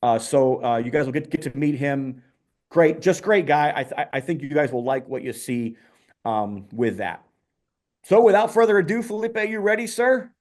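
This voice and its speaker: An upbeat man hosts a casual video call, his voice sitting a little high for a man. He talks warmly to the group, full of ums and quick restarts. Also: lively intonation. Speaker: host of a community call. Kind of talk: casual online call hosting.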